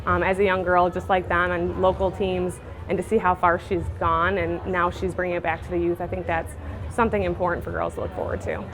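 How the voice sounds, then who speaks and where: A woman's voice: on the low side, level tone, brisk, music in the background, poor audio. An American woman, a parent, answering in an interview.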